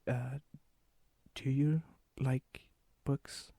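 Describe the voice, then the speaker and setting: A man playing a lonely, gentle, melancholy vampire in an ASMR roleplay, speaking softly and hesitantly, often near a whisper. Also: mid-range voice, flat delivery, creaky, no room sound, heavily acted. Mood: cautioning, awkward. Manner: hesitant, wistful, halting. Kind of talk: ASMR roleplay, intimate acted monologue.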